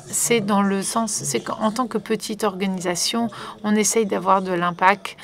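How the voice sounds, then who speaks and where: A woman working as a conference interpreter, relaying a panel live with frequent fillers and restarts. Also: mid-range voice. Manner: hesitant, many fillers and restarts.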